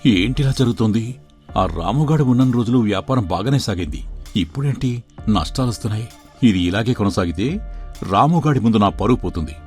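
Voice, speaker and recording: A man's voice: on the low side, expressive, brisk, a little mellow, faint music behind, lightly acted. A man voicing a greedy, scheming elder brother who runs a shop, boastful and dismissive, in a dramatized moral story. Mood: scheming, worried.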